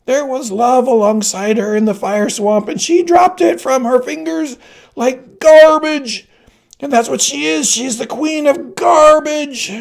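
A man's voice: very high-pitched, vocal fry, pitched above the performer's own voice, full character voice. A man doing a shrieking ancient crone. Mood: ranting. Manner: shrieking, accusing, drawn-out tirade. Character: withered ancient woman, bitter ranting accuser. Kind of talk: audiobook character voice.

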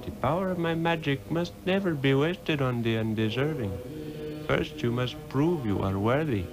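An actor playing an ancient, stern Tibetan sorcerer in a solemn, measured, oracular way in a 1960s radio drama. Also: male voice, medium pitch, lively intonation, natural voice, rough recording. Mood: solemn, patient. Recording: old radio recording with music.

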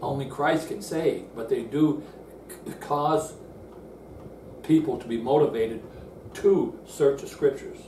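A man's voice: medium pitch, slow pace, long pauses, dark tone. An older man gives a seated Bible lesson in an earnest, explanatory way, often restarting phrases as he works through verses. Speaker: Bible teacher. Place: indoor room in front of a screen. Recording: roomy, distant, noisy room sound.

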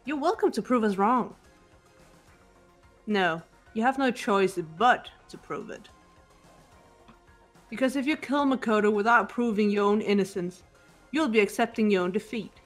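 A woman plays a composed, resolute teenage girl detective in a game scene. Her mid-pitched delivery is measured and quietly challenging, with faint music underneath. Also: natural voice. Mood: firm, challenging. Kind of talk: character voice acting in a game fan dub.

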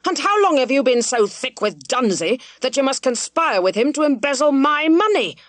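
A woman's voice: medium pitch, brisk, dry recording, natural voice. A woman reading aloud, voicing an older country squire who is ponderous and blustering, scolding his son. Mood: furious. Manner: shouting, scolding.

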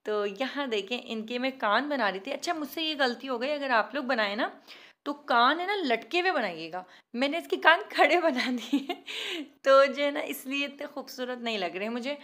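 A woman's voice: sits high, lively intonation, normal speed, a bit bright. A woman hosting a home baking tutorial in voice-over, chatty, self-mocking and pleading, laughing at her own work. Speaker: cooking channel host.

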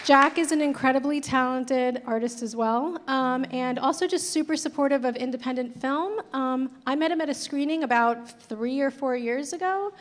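High-pitched woman host, chatty and blunt, handheld mic on stage. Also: moderate pace, hardly any pauses, some chatter behind, slight background noise. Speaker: event host, moderator. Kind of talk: introducing panelists. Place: gallery stage before a large audience.

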